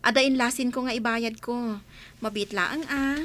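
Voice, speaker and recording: A woman's voice: a little high, normal speed, background noise, lightly acted. A woman playing a grateful, honest village storekeeper, polite and cheerful in an Ilocano radio comedy drama.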